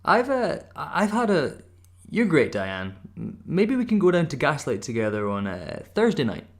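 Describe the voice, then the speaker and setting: An actor playing a nervous, eager, awkward suitor in a radio play, halting and stammering over his words. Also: fairly high pitch, huge pitch swings. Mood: nervous, hopeful. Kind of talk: naturalistic radio-play dialogue.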